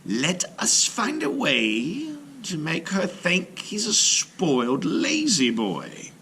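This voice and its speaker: A man's voice: a little high, wildly swooping pitch, takes it slowly, slight background noise, voice pushed up, heavily acted. A man voicing a jealous, scornful older brother in a storybook read-aloud. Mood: jealous, plotting. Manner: sneering, growling, shouting.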